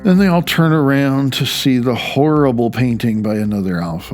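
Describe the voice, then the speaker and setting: Gravelly middle-aged man narrating. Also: mid-range voice. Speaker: author reading own audiobook. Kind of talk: audiobook narration of a reflective essay.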